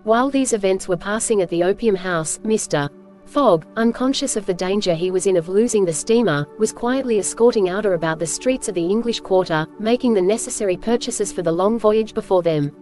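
A woman reading scripted storybook narration as host, with measured, clear phrasing. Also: mid-range voice, a little mellow, faint music behind.

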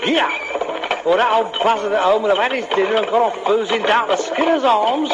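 A man's voice: high voice, brisk, close to an everyday voice. An actor playing an exasperated London rag-and-bone man who hurls insults, in vintage British radio comedy style. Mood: exasperated, grumbling. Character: grumbling rag-and-bone man scolding his lazy horse. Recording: dull noisy old radio recording.

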